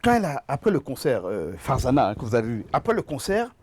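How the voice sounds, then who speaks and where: A man with a Gabonese French accent, a musician and producer speaking as a guest in a TV studio interview, halting and often repeating his words. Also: mid-range voice, wildly swooping pitch, darkish tone, slightly rough.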